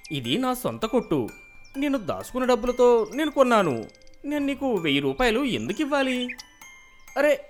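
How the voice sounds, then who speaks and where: A performer voicing an indignant, protective village shopkeeper in an animated story, protesting in a flustered way. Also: medium pitch, close to an everyday voice. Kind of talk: animated moral story character voice. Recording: music behind the voice.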